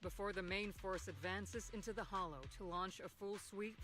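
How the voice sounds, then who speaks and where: A businesslike video game military officer. The voice is high-set, formal and measured, with faint music behind it. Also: male voice, fairly even intonation, pushed and bright. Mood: composed, businesslike. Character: composed, formal military officer briefing a squad.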